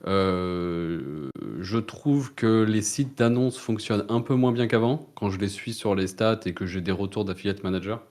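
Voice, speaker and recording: A French man with a low voice speaks on a podcast. He talks at a measured pace with some hesitation, and his tone stays casual. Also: a little mellow. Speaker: podcast co-host.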